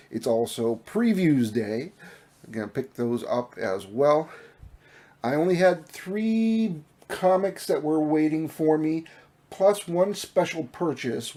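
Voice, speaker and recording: Man losing his voice, mellow tone. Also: medium pitch. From Minnesota. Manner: rambling, many fillers and restarts. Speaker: comic book vlogger. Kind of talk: casual show-and-tell vlog. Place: home room with collectibles.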